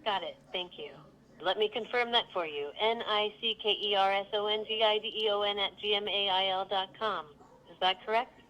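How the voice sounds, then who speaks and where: Bright synthetic female virtual phone receptionist. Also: mid-range voice, moderate pace, low-quality recording. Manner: polite, accommodating, neatly phrased. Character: polite female AI virtual assistant for a business. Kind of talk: scripted customer service.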